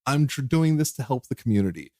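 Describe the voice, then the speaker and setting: A man's voice: medium pitch, sing-song, fast, a little mellow, dry recording, clean studio sound. A young man co-hosting a podcast, chatting casually with a rambling, joking manner and many restarts. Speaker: podcast co-host. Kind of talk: casual chat.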